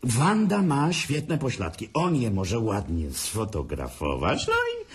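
An actor playing a sarcastic, bitter, tipsy divorced man who needles his brother haltingly in a radio drama. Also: medium pitch, wildly swooping pitch, slightly rough, exaggerated voice. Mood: mocking, taunting. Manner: sarcastic, taunting, chuckling, halting. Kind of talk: dramatic radio play acting.

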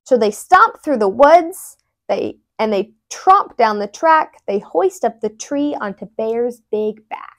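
A young woman reads a children's picture book aloud in an expressive, animated way, wearing a lapel mic indoors. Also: fairly high pitch, slow. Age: young adult. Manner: animated, with brief asides explaining words.

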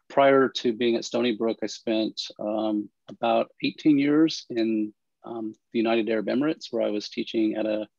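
A man on a panel video call, talking haltingly with many fillers and restarts. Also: mid-range voice, vocal fry, darkish tone, no echo. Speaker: panelist, English lecturer. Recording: dull, dry video call audio.

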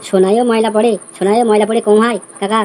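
A man acts out a bossy young village husband for a comedy cartoon. The voice is high and races along very fast, brash and colloquial. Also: little pitch movement, darkish tone, natural voice. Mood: haggling. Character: scheming young husband, bossy, foul-mouthed village schemer. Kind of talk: amateur comedy cartoon dubbing.

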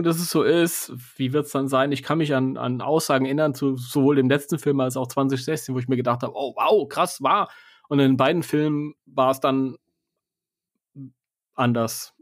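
A man's voice: mid-range voice, dry recording, clean studio sound. A man co-hosting a podcast, talking casually in a colloquial, wry way and giving opinions. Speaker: podcast host.

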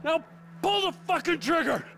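A man's voice: extremely high pitch, lively intonation, normal speed, creaky, bright, forceful tone, slight background noise, full character voice. A man playing a bitter, aggressive middle-aged criminal in a dramatic video game scene. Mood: furious taunting. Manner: aggressive, taunting, profane. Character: volatile, betrayed middle-aged male criminal, furious and bitter.

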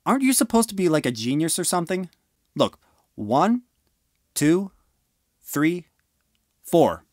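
A woman's voice: lowish voice, sing-song, measured pace, a little mellow, no room sound, clean studio sound. A woman playing a cocky, bossy teenage older brother in a fan audio drama. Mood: smug.

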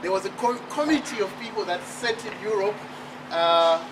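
A man's voice: high-pitched, normal speed. A man from Zimbabwe in his thirties giving an emphatic motivational speech at a book launch, posing rhetorical questions. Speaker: author and speaker. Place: outdoor terrace at night. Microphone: far camera. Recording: distant camera with outdoor noise.

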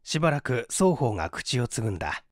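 A man's voice: mid-range voice, very animated, fairly quick, no echo, clean studio sound. A man reading literary fiction aloud as a scripted audiobook narrator.

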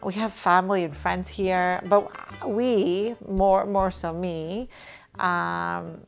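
A woman's voice: on the low side, fairly even intonation, slow pace, vocal fry, faint music behind. A woman in her thirties, raised in Greece, sitting on a living room sofa and reflecting thoughtfully in a documentary interview, often checking in with "right?". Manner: thoughtful, frequent restarts and "right?" tags.

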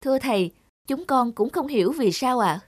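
A woman's voice: high voice, animated, normal speed, dry recording, clean studio sound, voice pushed up. A woman playing a polite poor student. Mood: earnest. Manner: polite, earnest.

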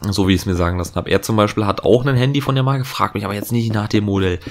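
A man's voice: on the low side, very fast. A man chatting casually over gameplay as the host of his let's play, rambling with many fillers and restarts. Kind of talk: casual gaming commentary.

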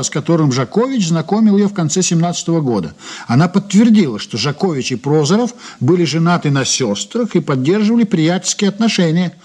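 Casting: probing Soviet investigator, husky, slightly high male voice, animated intonation, formal, radio play. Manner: measured, formal, businesslike. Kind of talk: Soviet radio drama acting, part narration.

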